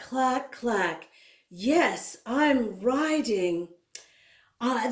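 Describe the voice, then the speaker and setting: A woman reading a picture book aloud to children, expressively, pausing to speak directly to her young listeners. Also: fairly high pitch, reverberant. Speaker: children's story reader.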